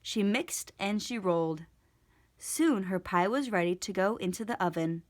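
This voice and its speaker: A woman reading a storybook aloud for children in an expressive, deliberate storytelling manner. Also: medium pitch. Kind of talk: scripted storybook read-aloud for kids.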